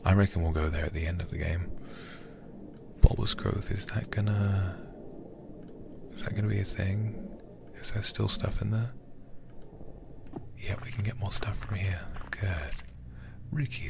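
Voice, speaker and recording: A man streaming a game as ASMR, reading aloud and chatting softly in an intimate, soothing, breathy way, close to the microphone. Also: fairly low pitch, noisy background. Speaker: livestreamer playing horror games.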